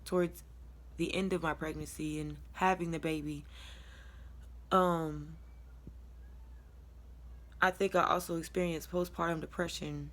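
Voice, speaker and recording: Low-voiced American woman, chatting candidly. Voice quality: low-toned, deep voice. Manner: candid, colloquial, rambling, chuckles as she talks. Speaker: vlogger sharing a personal testimony. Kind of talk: casual confessional storytelling to camera.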